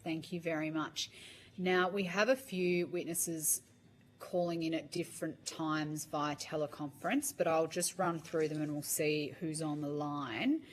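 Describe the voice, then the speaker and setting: A woman's voice: sits low, steady tone, measured pace, slight background noise. A woman chairing a parliamentary committee hearing, polite and procedural.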